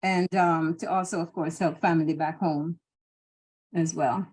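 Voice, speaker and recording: A woman's voice: deep voice, steady tone, measured pace, darkish tone, slightly rough. A middle-aged Jamaican woman with a Jamaican accent, answering interview questions in a thoughtful, hesitant way with frequent restarts and fillers. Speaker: interview guest.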